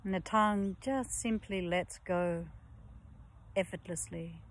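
Slow, soft-spoken woman guiding relaxation. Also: lowish voice, slight background noise. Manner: slow, soft and soothing, with long pauses. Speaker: yoga teacher. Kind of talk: guided yoga nidra relaxation.

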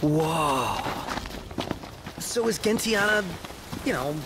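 An actor playing an excitable young male sidekick in a fantasy game, casual, boyish and curious. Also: a little high, slow, background noise.

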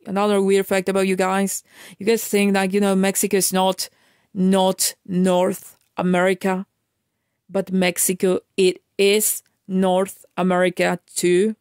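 A woman's voice: medium pitch, monotone, unhurried, darkish tone, clear, resonant tone, dry recording, clean studio sound. A woman from Argentina, talking in a casual, emphatic vlog rant, lecturing her viewers with many restarts and fillers. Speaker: vlogger. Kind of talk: casual ranting monologue.

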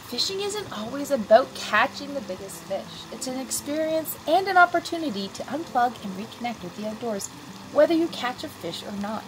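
A woman's voice: fairly high pitch, noisy background. A woman giving scripted, informational narration in an instructive, articulate way.

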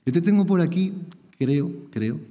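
Chatty host in a dull, echoing hall. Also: male voice, a little high, expressive, a little slow, warm tone. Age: middle-aged. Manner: chatty, repeats words, many tag questions. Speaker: host of a chess talk. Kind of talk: live public interview. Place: small lecture hall. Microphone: table mic. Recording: dull reverberant hall sound.

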